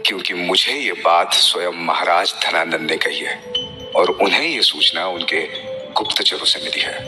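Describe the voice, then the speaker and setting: A man's voice: deep voice, moderate pace, over music, lightly acted. A man playing a crafty, composed royal minister in a historical audio drama, speaking formally and persuasively.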